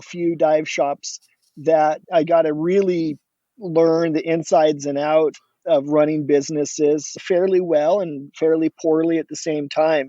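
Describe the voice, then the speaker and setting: A middle-aged man from Oregon, a guest entrepreneur, talking casually in a relaxed, rambling way with many restarts. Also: a little high, dry recording, lo-fi sound. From Oregon, USA. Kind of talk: casual interview talk.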